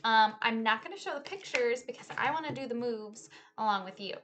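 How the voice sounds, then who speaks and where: A woman with a high, lively, swooping voice tells a children's story as a teacher would. She takes her time, chanting and stretching key words theatrically. Some background noise sits in the recording. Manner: theatrical, drawn-out key words, shifting between hushed whispers and loud exclamations.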